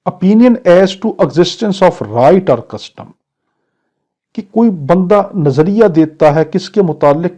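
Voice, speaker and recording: A man's voice: on the high side, dark tone. A Pakistani-accented man giving an exam-prep law lecture, explaining didactically with examples and questions. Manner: reads English clauses slowly, then explains them in Urdu; didactic, poses questions and answers them. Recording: dull, lo-fi lecture recording.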